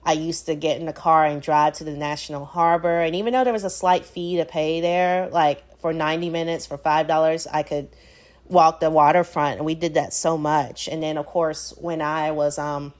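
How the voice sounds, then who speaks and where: A woman's voice: sits low, fairly even intonation, moderate pace. An American woman with a Southern accent hosting her own podcast, talking casually and reflectively with many fillers. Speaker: podcast host. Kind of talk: solo podcast monologue.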